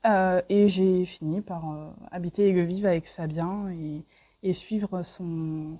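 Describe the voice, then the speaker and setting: A woman in her early thirties answers interview questions in an easy, enthusiastic way, with many fillers. Her voice is mellow and a little low. She speaks casually and warmly about her home. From Paris region, France. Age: 30s. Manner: enthusiastic, chatty, frequent fillers. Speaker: interviewee, garage co-owner.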